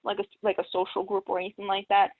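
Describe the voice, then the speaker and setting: A woman talking casually as a guest, animated and emphatic, fond of rhetorical questions. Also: medium pitch, quick pace. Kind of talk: casual conversation. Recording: tinny phone-like line.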